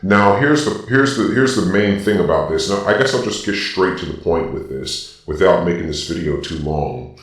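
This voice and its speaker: A man with a robot voice filter giving opinionated ranking commentary as a video host, explaining his points emphatically and deliberately. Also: deep voice. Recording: very echoey, distant sound.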